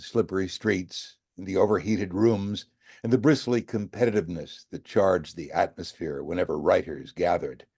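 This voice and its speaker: An older American man with a very low, gravelly voice reads a novel aloud. His tone is dark and mellow, and he phrases each line with measured, dramatic emphasis like an audiobook narrator. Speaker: voice actor and storyteller reading aloud. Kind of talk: expressive audiobook-style literary narration.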